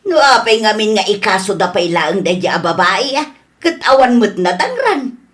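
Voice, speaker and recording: A woman's voice: mid-range voice, sing-song, moderate pace, a bit bright. Actress, gossiping village woman, Ilocano accent, mid-range voice, emphatic, exclamatory, radio drama. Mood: dismissive.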